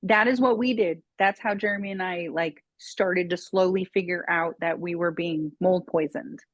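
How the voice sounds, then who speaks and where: A woman's voice: on the low side, fairly even intonation, moderate pace, dry recording. Emphatic woman on a dull dry line. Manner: emphatic, matter-of-fact advice. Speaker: guest expert on mold and parasites. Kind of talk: casual podcast chat.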